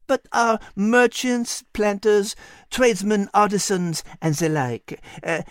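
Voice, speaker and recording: An elegant French lady creature, played by a male narrator, speaks high and lilting. She is clever and gracious, explaining things articulately in lively storybook fashion, with a dry, close sound. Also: dry recording. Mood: informative, bright.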